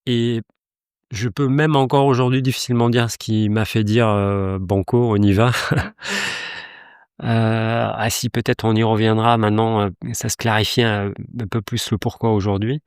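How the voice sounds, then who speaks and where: A man's voice: fairly low pitch, fairly even intonation, moderate pace, clean tone, dry recording, studio quality. A man in his fifties, originally from Iran, interviewed as a company director on a podcast, speaking reflectively with many hesitations and restarts. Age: 50s.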